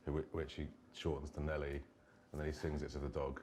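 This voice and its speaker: A man's voice: rumbling low, monotone, brisk, heavy background noise. A man from a band answering fan questions on stage with dry jokes. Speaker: band member. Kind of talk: live Q&A chat. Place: concert venue stage.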